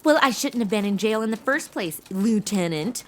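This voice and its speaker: A woman's voice: mid-range voice, expressive, moderate pace, lightly acted. A young woman playing a flippant, indignant, slangy modern detective's assistant in a comedy audio drama. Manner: indignant, flippant, slangy valley-girl delivery.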